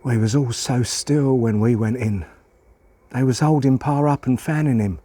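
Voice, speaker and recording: A man's voice: mid-range voice, moderate pace, dark tone, dry recording, pitched above the performer's own voice, natural voice. A man voicing a folksy country child reciting a family story in rustic dialect. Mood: hushed, worried. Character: folksy country child telling about a beloved Pa. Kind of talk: dramatic poem recitation.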